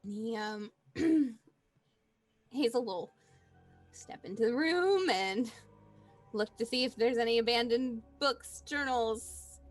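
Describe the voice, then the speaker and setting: A woman's voice: fairly high pitch, slow pace, pauses often. A woman chatting casually as a player in a tabletop roleplaying game. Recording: music behind the voice. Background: background music.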